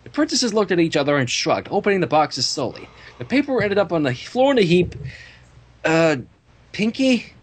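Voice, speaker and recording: A reader narrating fan fiction aloud in an expressive storytelling style. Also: medium pitch, quick pace, husky.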